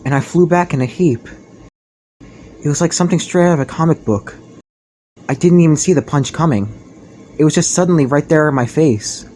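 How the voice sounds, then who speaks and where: A man's voice: fairly high pitch, moderate pace, pauses often, warm tone, slight background noise, lightly acted. Earnest, puzzled teenage boy narrator played by a man. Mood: dazed reflection. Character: earnest, bewildered ordinary teenage boy narrating his ordeal. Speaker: protagonist and narrator. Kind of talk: scripted anime game fandub narration. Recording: music behind the voice.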